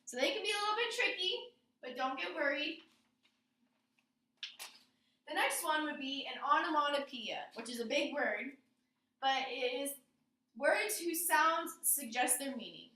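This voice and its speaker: A woman teacher explaining a lesson to her pupils, often pausing to check in with questions. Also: high voice, lively intonation, sharp tone. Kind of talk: classroom lesson on figurative language. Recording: roomy echo, distant microphone.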